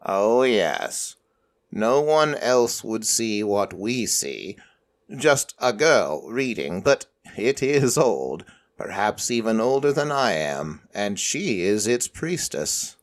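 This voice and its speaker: A man voicing an ancient, formless deity in raven form for audio fiction, solemn and measured, with formal archaic phrasing. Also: lowish voice, very animated, heavily acted. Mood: quietly intrigued. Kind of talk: audio fiction character acting.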